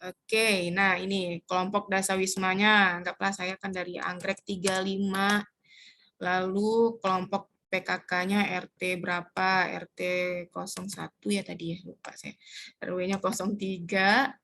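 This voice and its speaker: A woman explaining step by step how to fill in a community household form, pausing often to search for words. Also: mid-range voice, flat delivery, creaky, bright, forceful tone, slight background noise. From Indonesia. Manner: hesitant, searches for words with many fillers. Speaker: community trainer.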